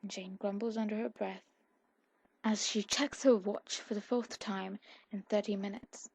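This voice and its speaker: A woman with a husky voice reading a scripted story aloud in an ASMR style. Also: mid-range voice, steady tone, a little slow, darkish tone, dry recording. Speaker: fan fiction audiobook narrator.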